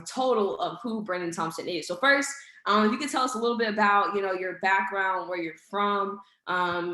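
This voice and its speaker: A woman's voice: medium pitch, bright, forceful tone. A woman hosting a casual podcast interview, asking questions in an upbeat way with plenty of fillers. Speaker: podcast host. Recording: echoey room.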